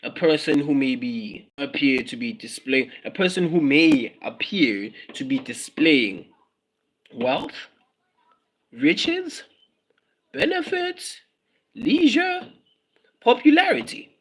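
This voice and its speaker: A man's voice: on the high side, very animated, slow pace, pauses often, a bit bright, poor audio. South African man musing on a livestream. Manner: musing, repeats phrases, mixes in Zulu words. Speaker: livestream host. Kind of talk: casual livestream monologue.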